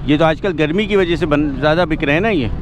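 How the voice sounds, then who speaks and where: A man's voice: a little high, quick pace, background noise. A man guiding a walking tour of an outdoor street market, describing the goods and repeating the prices back to the vendors. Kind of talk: walk-and-talk narration, asking vendors prices.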